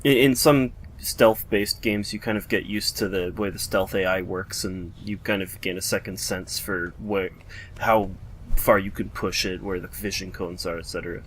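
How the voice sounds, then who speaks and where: A man's voice: sits low, fairly even intonation, normal speed, fairly bright tone, breathy edge, poor audio. A man co-commentating on a video game in a casual, thoughtful and inquisitive way. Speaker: let's play co-commentator.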